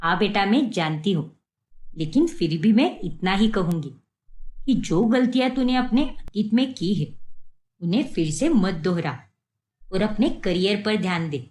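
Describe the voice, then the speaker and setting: A woman's voice: mid-range voice, lively intonation, a little slow, darkish tone, lightly acted. A woman voicing a concerned mother who advises earnestly in a dramatic story. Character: concerned, admonishing mother of an actress.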